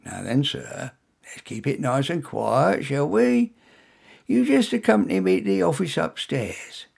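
A man voicing a male store security guard who speaks quietly and politely but with authority. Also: a little high, expressive, measured pace, gravelly, breathy edge, voice pushed up, heavily acted. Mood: quietly suspicious.

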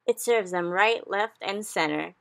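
A woman's voice: lowish voice, moderate pace, vocal fry, a bit bright. A woman voicing a big kindly male giant who talks in cheerful, mangled grammar. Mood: excited. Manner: folksy, ungrammatical, exclamatory.

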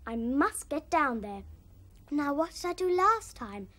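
Cartoon boy, very high, bright, lively, slow-paced. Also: full character voice. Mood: worried. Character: plucky young boy, cheeky and defiant. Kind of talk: cartoon voice acting.

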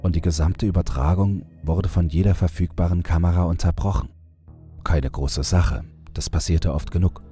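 A man's voice: deep voice, fairly even intonation, normal speed, mellow, faint music behind. A man reading scripted first-person horror fiction as an audiobook narrator.

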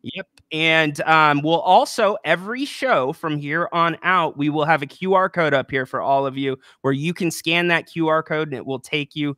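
Bright, crisp young male co-host. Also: fairly high pitch, dry recording, studio quality. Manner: upbeat, promotional, brisk play-by-play recap. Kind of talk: casual postgame sports chat. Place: small room with a plant and a banner. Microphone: podcast microphone on a boom arm.

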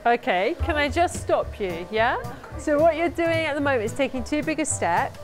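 A middle-aged woman with a fairly high voice coaches exercise technique in a gym. She gives clear step-by-step instructions and often checks that she is understood, with music playing behind her. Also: expressive, normal speed. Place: indoor gym.

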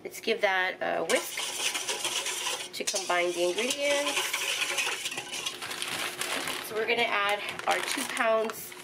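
A woman's voice: mid-range voice, takes it slowly, creaky, pauses often, heavy background noise. Woman walking through a home recipe. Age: middle-aged. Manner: instructional, walks through each step with fillers. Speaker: home cooking channel host. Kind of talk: casual step-by-step cooking narration. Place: home kitchen.

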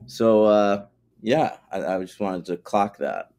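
A man's voice: on the low side, animated, takes it slowly, darkish tone. A man co-hosting a podcast, chatting in a laid-back, profane way and sharing trivia about the show. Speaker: podcast co-host. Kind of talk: casual episode recap chat.

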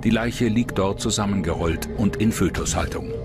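A man narrating a scripted true-crime documentary in a measured, dramatic manner. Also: fairly low pitch, over loud music.

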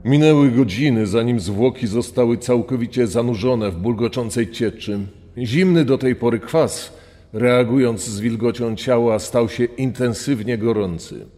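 Dark, reverberant male storyteller. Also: medium pitch, moderate pace. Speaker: narrator. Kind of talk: scripted true-crime storytelling. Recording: long reverb on the voice.

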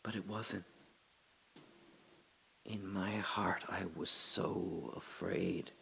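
An actor playing a dying older father, telling his memories in a halting, breathy dramatic monologue that drops to whispers. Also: low-pitched, steady tone, bright, forceful tone, a little hiss or hum, low-quality recording. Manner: halting, breathy, emotional, many pauses and restarts. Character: dying old father recalling his daughter's birth.

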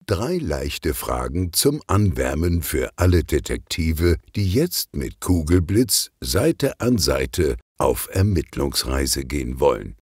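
A man narrating a children's detective story in an expressive, clearly articulated way. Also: extremely low pitch, slow pace, few pauses, dry recording, studio quality. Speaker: audiobook narrator.

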